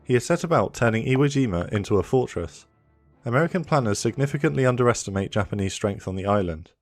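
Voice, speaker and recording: Low-voiced male history documentary narrator. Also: darkish tone, no echo.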